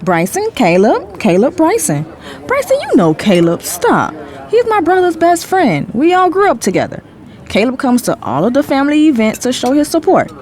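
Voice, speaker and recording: A high-voiced young woman with hugely animated, swooping intonation. She is coy, playful and sure of herself, like a smooth-talking fiancée in an audio drama. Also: normal speed. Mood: sweetly bluffing. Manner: coy, playful, self-assured. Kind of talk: dramatized audio fiction dialogue.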